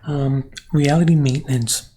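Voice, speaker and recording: A man's voice: mid-range voice, fairly even intonation, a little slow, warm tone, a little hiss or hum. A man whose first language is Spanish, thinking aloud in a rambling monologue with many restarts and questions to the viewer. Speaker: channel host. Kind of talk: reflective monologue.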